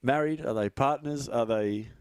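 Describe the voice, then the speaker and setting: A man from Australia doing casual studio commentary for a live stream, chatty and quick to laugh, often stumbling over his words. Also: medium pitch, clean tone, no echo.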